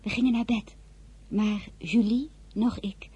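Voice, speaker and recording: A woman narrating a diary-style story in a calm storytelling manner. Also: fairly high pitch, measured pace, breathy edge, dry recording.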